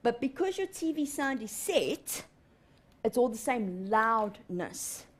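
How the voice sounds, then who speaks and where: A woman in her thirties teaching a live televised lesson in a studio, animated and often checking in with "okay?". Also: a little high, slow, slightly rough. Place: TV studio in front of a large screen.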